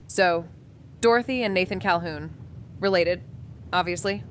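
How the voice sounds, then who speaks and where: A woman's voice: on the low side, unhurried, a little hiss or hum, natural voice. An actress as a skittish wisecracking woman sleuth. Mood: puzzled, uneasy. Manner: blunt, colloquial, exclamatory sarcasm. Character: sweary, skittish, wisecracking female amateur sleuth. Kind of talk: neo-noir audio drama dialogue and narration.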